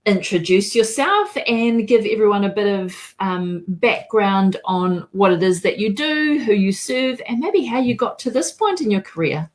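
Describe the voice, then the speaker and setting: A woman's voice: medium pitch, expressive, measured pace, few pauses. A woman with a New Zealand accent hosting a live interview show, speaking in a welcoming way. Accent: New Zealand English. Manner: welcoming, opens in te reo Māori. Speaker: host.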